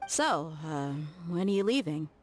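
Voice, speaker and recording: A confident young mercenary woman in a video game, talks in a lowish voice. Her delivery is easygoing and friendly, with a teasing edge. Soft music sits behind her. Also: a little slow. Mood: warm, teasing. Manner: relaxed, teasing, friendly banter.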